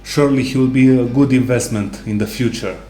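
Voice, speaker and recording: A man co-hosting a casual sports discussion, speaking haltingly with non-native English phrasing. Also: medium pitch, dark tone. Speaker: co-host. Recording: roomy echo, music underneath.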